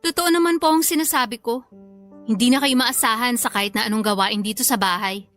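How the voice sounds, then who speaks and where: A woman's voice: fairly high pitch, brisk, fairly bright tone, soft background music, natural voice. A woman playing an outspoken young working daughter in a radio drama, with a Filipino accent, polite but defiant. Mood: exasperated, reproachful. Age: young adult.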